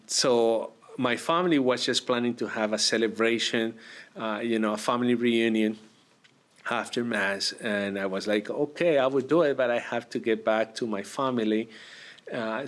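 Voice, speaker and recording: A man from Argentina, a priest, telling his story in an interview, with many pauses and fillers. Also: fairly low pitch, fairly bright tone. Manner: hesitant, many fillers and restarts. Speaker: priest, guest.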